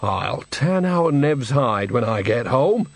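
A man's voice: mid-range voice, very animated, takes it slowly, vocal fry, no echo, exaggerated voice. A man reading aloud and voicing an earthy, cheeky old woman witch who talks bluntly. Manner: blunt, exclaiming.

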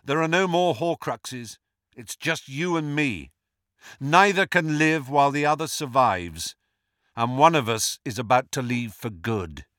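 A man's voice: mid-range voice, animated, unhurried, fairly bright tone, no echo, clean studio sound. A man voicing a brave teenage wizard in an audiobook, speaking with firm, earnest defiance. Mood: firm, defiant.

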